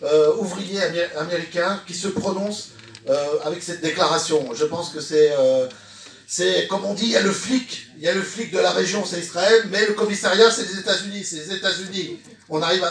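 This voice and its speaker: A man's voice: high-pitched, fairly bright tone. A man speaking as a trade unionist at a public meeting, recorded from a distance in an echoey room. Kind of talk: public meeting speech, partly reading a statement aloud.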